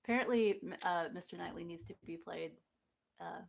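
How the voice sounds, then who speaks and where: A woman's voice: fairly low pitch, fairly quick, vocal fry. A woman in casual chat, chiming in on a livestream reading with dry, hesitant comments.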